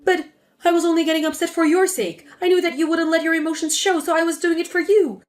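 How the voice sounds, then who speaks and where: A woman voicing a spiteful older mother-in-law who lectures and sneers, with a lowered voice. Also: steady tone, fast talker. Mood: self-justifying. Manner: scornful, lecturing.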